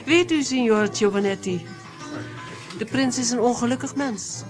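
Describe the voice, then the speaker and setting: An actress playing a haughty, sly princess in a radio drama, speaking in a teasing, insinuating, aristocratic way. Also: female voice, on the high side, normal speed, close to an everyday voice. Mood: sly, cool. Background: music behind the voice.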